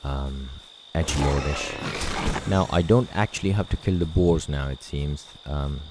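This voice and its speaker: A man's voice: rumbling low, unhurried, noisy background. A man from Pakistan whose first language is Urdu, living in England, chatting casually with many fillers while playing a game on a poor home mic. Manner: rambling, self-deprecating, many fillers. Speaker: gaming channel host. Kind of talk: casual let's play commentary. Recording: loud game music behind.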